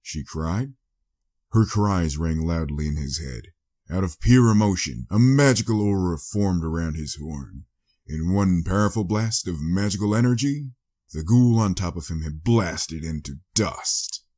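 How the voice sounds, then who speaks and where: A man's voice: sits low, lively intonation, a little slow, darkish tone. A man reading a scripted story as a dramatic audiobook narrator.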